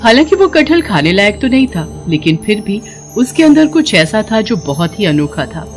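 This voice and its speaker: A woman's voice: medium pitch, big pitch swings, moderate pace, faint music behind. A woman narrating a scripted moral story as a storyteller.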